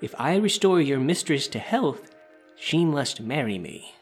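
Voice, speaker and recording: A man's voice: on the high side, very animated, measured pace, faint music behind, pitched above the performer's own voice. A man doing a proud, scheming glassblower. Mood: earnest. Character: eager adult male glassblower, proud and scheming.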